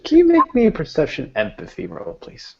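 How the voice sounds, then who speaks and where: A man's voice: fairly high pitch, huge pitch swings, a little fast, creaky, dark tone, dull audio. A man acting as game master, narrating a tabletop roleplaying game.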